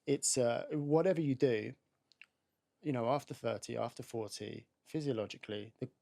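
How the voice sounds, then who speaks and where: Gravelly-voiced male interviewer. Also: medium pitch, normal speed, warm tone. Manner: affirming, lots of "yeah". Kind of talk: casual sit-down interview.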